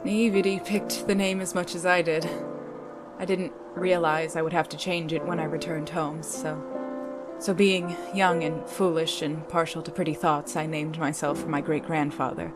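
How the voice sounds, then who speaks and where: A woman's voice: sits low, little pitch movement, moderate pace, loud music behind the voice, lightly acted. A woman playing a cool, secretive spy who lives as a man in a noir audio drama, formal and wry. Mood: guarded, confessional.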